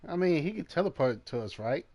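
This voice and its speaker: A man's voice: fairly high pitch, big pitch swings, a little fast, a little mellow. A man giving casual gameplay commentary as a streamer, muttering asides to himself as he plays. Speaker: gaming streamer.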